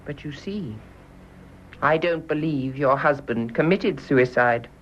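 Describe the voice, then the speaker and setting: An actress with a British accent playing a stern, bitter private nurse in a radio drama, firm, clipped and reproachful. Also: female voice, deep voice, slow, dull audio, a little hiss or hum. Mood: insistent.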